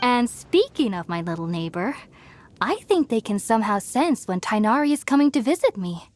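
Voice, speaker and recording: High-voiced, earnest young scholar. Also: female voice, sing-song, slight background noise. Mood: earnest, reflective. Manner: thoughtful, articulate, measured explaining. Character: earnest, gentle young female scholar and researcher. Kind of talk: video game voice acting. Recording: music behind the voice.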